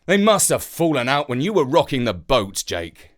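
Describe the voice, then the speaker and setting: A narrator voicing a mild, put-upon father with a measured, grumbling manner in a children's storybook. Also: medium pitch, big pitch swings, lightly acted. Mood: annoyed.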